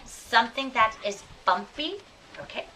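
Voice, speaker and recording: A woman teaching elementary pupils in a classroom, asking questions and repeating answers deliberately with encouraging endearments. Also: fairly high pitch, expressive, pushed and bright. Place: classroom with children. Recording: distant mic, clearly audible room noise.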